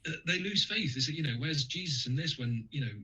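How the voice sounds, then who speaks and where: A man with a husky, slightly breathy voice, speaking as a radio guest. He pauses often, restarts his sentences and fills gaps with ums and uhs. The sound is dull and lo-fi. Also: fairly high pitch, animated, sharp tone. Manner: hesitant, many fillers and restarts. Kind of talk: radio interview, conversational.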